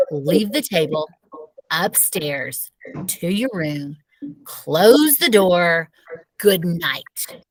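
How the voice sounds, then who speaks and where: A woman's voice: fairly low pitch, no echo, poor audio. A woman playing an exasperated mother in a children's story, stern and firm with her kids.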